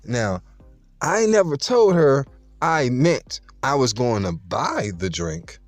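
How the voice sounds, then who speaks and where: Animated storytelling man with street slang. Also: mid-range voice, takes it slowly, dry recording, soft background music. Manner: streetwise, playful, boastful slang, addresses listeners directly. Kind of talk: casual storytelling to viewers.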